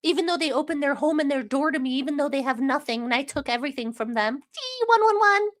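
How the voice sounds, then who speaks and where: A woman's voice: high-pitched, animated, fast talker, voice pushed up. A woman putting on a mocking voice of a whiny young wife pleading with her husband.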